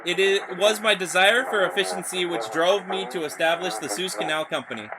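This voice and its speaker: A man voicing a solemn former diplomat and canal developer in formal, measured oratory, reading a horror story aloud. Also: high-pitched, background noise. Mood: solemn, grandiose.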